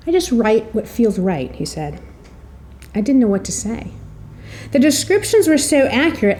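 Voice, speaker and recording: A woman's voice: on the high side, darkish tone. A middle-aged woman presenting a prepared introduction, telling stories in an articulate, wry and slightly theatrical way. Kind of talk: prepared introductory speech with storytelling.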